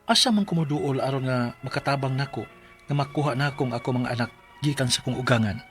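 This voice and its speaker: A man's voice: medium pitch, fast talker, a bit bright, soft background music, natural voice. An actor with a Cebuano Filipino accent playing a distraught rural father who pleads for his children in a radio drama. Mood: aggrieved, sorrowful. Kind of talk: Bisaya radio drama acting and letter narration.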